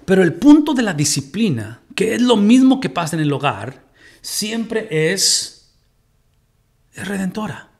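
A man's voice: sits high, a little slow. A middle-aged Mexican man with a Mexican Spanish accent, teaching a Bible study from a home room and putting rhetorical questions to his listeners. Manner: animated, gestures while teaching, asks the audience rhetorical questions.

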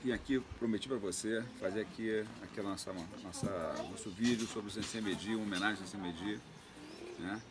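A man's voice: fairly low pitch, a little fast, crowd noise. A man in his forties casually introducing his father for a tribute, with frequent fillers. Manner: friendly, filler-heavy, frequent "né?". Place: outdoor garden.

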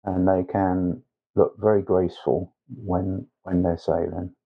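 A man narrating a painting tutorial step by step as a tutor, unhurried and with hesitant pauses. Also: sits low, little pitch movement, gravelly, narrow, tinny audio. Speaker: watercolour tutor.

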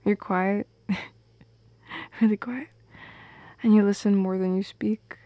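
A young woman playing a shy, teasing teenage goth girl in an intimate ASMR roleplay, speaking softly and hesitantly, often slipping into a whisper. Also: mid-range voice, fairly even intonation, no room sound, muffled recording, natural voice. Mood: tender, nervous. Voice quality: soft and breathy, slipping into whispers. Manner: hesitant, teasing, halting with small laughs. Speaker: audio roleplay performer. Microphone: close microphone in a dry space.

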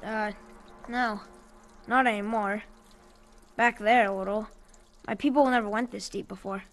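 A woman's voice: mid-range voice, fairly even intonation, a little slow, lightly acted. An actress playing an uneasy young woman in an audio drama, speaking hesitantly. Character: uneasy young female companion underground.

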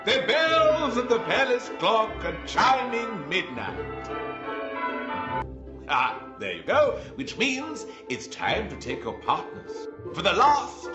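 Flamboyant high-pitched pantomime announcer. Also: male voice, huge pitch swings, music in the background, exaggerated voice. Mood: grand, excitable. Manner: grandly drawn-out, theatrical. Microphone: video call. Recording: dull, roomy home recording.

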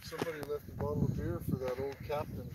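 A man's voice: fairly high pitch, steady tone, quick pace. A man chatting casually outdoors in a folksy, joking way, like someone spinning a yarn. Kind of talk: casual chat, tongue-in-cheek yarn-spinning. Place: outdoors on a lakeshore. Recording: very loud wind and outdoor noise.

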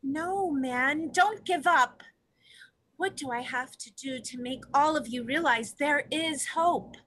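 A woman as an earnest, bright-voiced orca leader. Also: sits high, slow pace. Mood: pleading, resolute. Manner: solemn, pleading, declamatory. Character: Ice Traveler, female orca, earnest hopeful leader. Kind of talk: dramatic play reading. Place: home room, video call.

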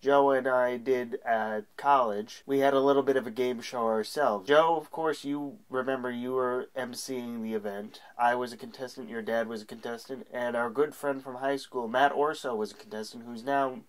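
A man's voice: medium pitch, steady tone, normal speed, few pauses, low-quality recording. Stuffy-nosed young man, chatty. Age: young adult. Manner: chatty, with frequent uh fillers. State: stuffy from a cold. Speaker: sports podcast co-host. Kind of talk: casual solo segment to camera. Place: small room at home.

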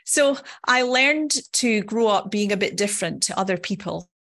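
A woman's voice: mid-range voice, lively intonation, moderate pace, a bit bright. A middle-aged woman from Scotland with a Scottish accent, giving a personal conference talk in a conversational, earnest manner. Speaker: nursing professor, conference speaker.

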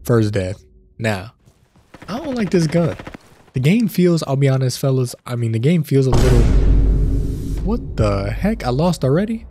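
A man with a stuffy nose from a cold, hosting casual gameplay commentary, chatty and prone to excited outbursts. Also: mid-range voice, normal speed, pauses often, over music. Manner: chatty, addresses viewers as fellas, excited outbursts. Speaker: gaming channel host. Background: faint game gunfire.

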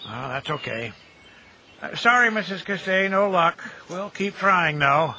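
Birdsong and outdoor noise surround a kindly, folksy American caretaker in his fifties. His voice sits a little high and moves around freely. He talks in a plain, neighborly way, as in a radio play. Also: expressive, measured pace, pushed and bright, full character voice. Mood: easygoing. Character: folksy, kindly middle-aged graveyard caretaker who chats with the dead. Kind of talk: audio drama acting. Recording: noisy outdoor ambience with birdsong.

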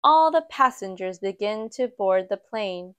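Slow, gentle woman reading a storybook. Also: mid-range voice, no room sound. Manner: slow, gentle and expressive, lifting for quoted lines. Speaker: children's book reader. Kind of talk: read-aloud storytime narration. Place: indoors at a table, book filmed from above.

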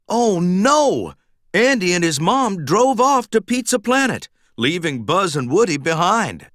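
A man reading a children's storybook aloud in an expressive, dramatic, emphatic storytelling manner. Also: on the high side, dry recording, clean studio sound. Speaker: storybook narrator.

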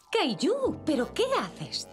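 Very expressive Castilian cartoon mum. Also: female voice, very high-pitched, extremely slow, faint music behind, full character voice. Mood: bright, surprised. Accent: Castilian Spanish. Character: patient, affectionate mother of two small children. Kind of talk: children's cartoon dub acting.

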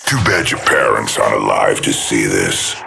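Game villain: sadistic machine assassin, rock-bottom pitch, menacing swooping taunts, metallic growl. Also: male voice, big pitch swings, sharp tone, slight background noise, heavily acted. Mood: taunting, sinister. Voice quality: deep gravelly growl. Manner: slow, taunting, sinister drawl. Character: sadistic murderous robotic demon assassin, mocking and menacing. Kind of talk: video game character voice lines.

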